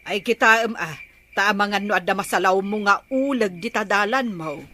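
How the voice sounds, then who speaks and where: A woman's voice: medium pitch, moderate pace, sharp tone, slight background noise, natural voice. A woman playing a fussy, caring old grandmother with an Ilocano accent, cautioning in a radio comedy drama. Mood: fussing, concerned.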